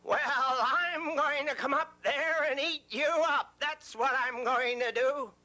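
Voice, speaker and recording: A man voicing an angry, bossy bridge troll who yells drawn-out threats. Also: very high-pitched, steady tone, pitched above the performer's own voice, full character voice.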